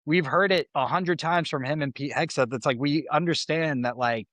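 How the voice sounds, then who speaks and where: A man's voice: fairly high pitch, normal speed, fairly bright tone, no echo. A young man co-hosting a political podcast, rambling and exasperated, with many fillers and restarts. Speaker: podcast co-host. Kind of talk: casual political chat.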